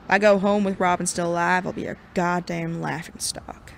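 Woman playing a gravelly, stubborn western sheriff. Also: on the low side, level tone, normal speed, a little hiss or hum. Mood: grim resolve. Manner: terse, irritable. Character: proud, stubborn, gruff Wild West sheriff. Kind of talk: western audio drama.

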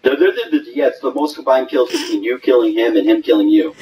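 A man's voice: mid-range voice, wildly swooping pitch, fast, lo-fi sound, over a noisy crowd. A young man arguing in online game chat, exasperated, with insistent point-by-point delivery. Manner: exasperated, argumentative. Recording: game chat with background voices.

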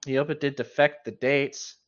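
A man's voice: medium pitch, little pitch movement, moderate pace. Mocking man, slightly muffled sound. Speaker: co-host reacting to a horror story. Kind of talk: casual reaction commentary.

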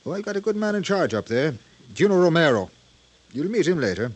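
A man's voice: a little high, sing-song, fairly quick. A man playing a breezy, tough American aviation official in a 1950s radio drama, with a folksy, slangy, blunt way of talking. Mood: frank, businesslike.

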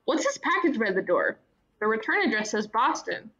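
An actress playing a supportive, teasing younger sister in a radio play. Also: female voice, big pitch swings, moderate pace, fairly bright tone, exaggerated voice. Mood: reassuring. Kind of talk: radio play acting, naturalistic dialogue. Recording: dull, muffled low-fidelity microphone.

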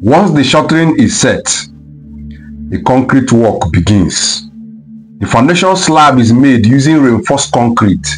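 A man with a mid-range voice narrates a scripted documentary voice-over. He reads each step in a steady, explanatory way. Faint music plays behind him. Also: medium pitch, poor audio. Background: faint background music.

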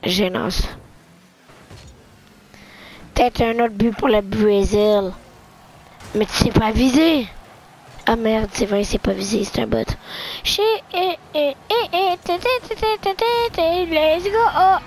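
A young woman with a sore throat, casually commentating a car-soccer video game match in an excitable, joking, chatty way. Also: on the high side, unhurried, low-quality recording. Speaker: esports commentator.

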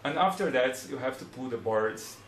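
A young man from Brazil with a Brazilian Portuguese accent, in his twenties, speaking English as a second language in a casual how-to demonstration. He talks in a plain, unhurried way and searches for words, at a desk in a small room. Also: mid-range voice, lively intonation, roomy sound, slight background noise. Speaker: hobbyist demonstrator.

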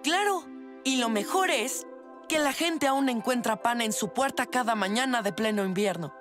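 Woman playing an earnest, high-voiced young boy. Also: normal speed, over music, close to an everyday voice. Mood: storytelling. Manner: earnest, explanatory. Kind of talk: animated cartoon dub.

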